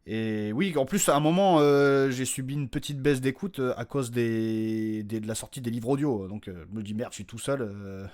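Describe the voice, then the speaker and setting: A man's voice: medium pitch, moderate pace, few pauses, darkish tone. A man hosting his own podcast, giving an unscripted, informal announcement in a rambling, slangy, self-deprecating way. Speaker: podcast creator and host.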